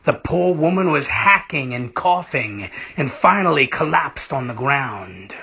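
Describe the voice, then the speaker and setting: A man narrates a story slowly and dramatically, in a fairly high, husky voice. His tone is bright and intense, and he draws out phrases with theatrical feeling, letting the endings fall to a breathy hush. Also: expressive. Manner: theatrical, emotive, drawn-out delivery with breathy hushed phrase endings. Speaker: reader of a short story. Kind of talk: dramatic literary narration in first person.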